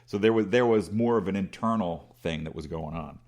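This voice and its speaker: A man's voice: deep voice, fairly quick, darkish tone. A middle-aged man with a big voice, telling rambling, self-deprecating stories as an interview guest with many restarts. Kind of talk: casual conversational storytelling.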